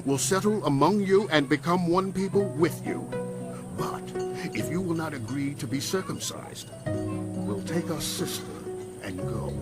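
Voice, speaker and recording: A somewhat high male voice plays a stern, crafty brother from a herding family in a biblical drama. He is firm and insistent, with music clearly audible beneath him. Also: animated, a little slow. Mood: firm, deceitful. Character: stern, crafty brother, son of an ancient herdsman. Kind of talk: dramatized scripture audio drama.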